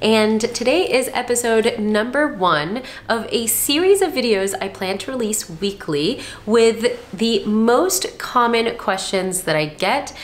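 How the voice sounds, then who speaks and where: A woman's voice: on the high side, lively intonation, measured pace, hardly any pauses. A young adult woman, chatting casually and informally to viewers as a friendly vlog host. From Dubai and Northern California. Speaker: vlog host, surgery resident. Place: indoor room by a window.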